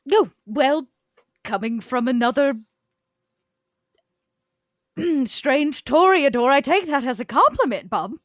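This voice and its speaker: A woman playing a poised, probing vampire outsider, speaking in a deliberate, wryly formal, coaxing way in a roleplaying game. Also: high voice, animated, dry recording, studio quality, pitched above the performer's own voice. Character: poised, probing, socially outcast female Toreador vampire. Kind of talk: improvised in-character roleplay.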